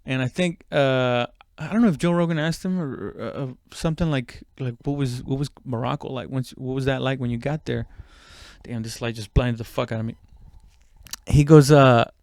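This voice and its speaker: A man's voice: medium pitch, fairly even intonation, moderate pace, creaky, darkish tone, dry recording, clean studio sound. A man in his thirties from Mexico, a comedian telling a story casually, swearing and interrupting himself as he rambles. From Mexico, moved to the US at seven. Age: 30s. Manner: profane, rambling, self-interrupting. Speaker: stand-up comedian.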